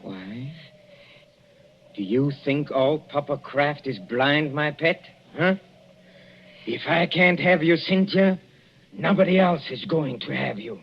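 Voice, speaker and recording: Softly menacing, mocking jealous husband, muffled. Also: male voice, fairly high pitch, slow pace, vocal fry, long pauses. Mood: bitter, sardonic. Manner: softly sardonic, hesitant, mock-affectionate. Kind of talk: 1940s radio suspense acting. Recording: noisy muffled old radio recording.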